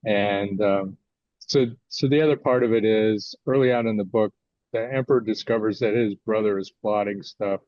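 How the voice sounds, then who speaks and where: An older American man answering interview questions as a guest author, conversational and a little halting as he thinks his answers through. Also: lowish voice, steady tone, darkish tone, dry recording. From United States, California. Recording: dull lo-fi audio.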